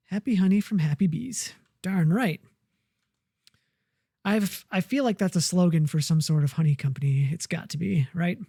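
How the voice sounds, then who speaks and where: A woman plays through a survival game and chats to herself in a slow, unhurried ramble. Her voice sits low and mellow. The sound is dry and close, with no room around it. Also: creaky, slightly rough, no echo. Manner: relaxed, muttering to herself while building. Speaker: gamer. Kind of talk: casual let's-play commentary.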